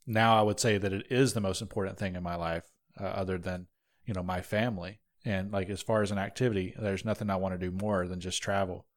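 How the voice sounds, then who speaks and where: A man in his late twenties from North Georgia hosting a travel podcast in a relaxed, rambling way. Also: fairly low pitch. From North Georgia, USA. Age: 20s. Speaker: podcast host. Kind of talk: casual podcast chat.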